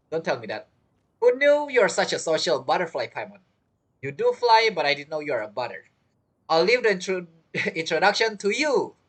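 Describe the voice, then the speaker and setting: A woman giving casual, chatty gameplay commentary as a streamer, with teasing asides. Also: low voice. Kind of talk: casual game playthrough commentary.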